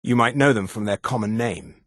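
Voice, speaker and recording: An actor voicing a haughty, sneering high elf official in a fantasy video game, speaking in a pompous, condescending, precise manner. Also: male voice, fairly quick, fairly bright tone, no room sound, clean studio sound, close to an everyday voice. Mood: haughty, proud. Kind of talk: scripted fantasy game dialogue.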